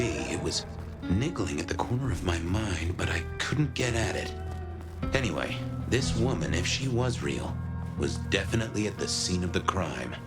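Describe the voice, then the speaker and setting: A world-weary vampire detective giving a wry noir voice-over for a game. His voice is deep and slow, yet it moves expressively up and down. Music runs underneath. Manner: wry, deliberate, confiding. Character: hard-boiled male vampire detective narrating, sardonic, world-weary. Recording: background music under the narration.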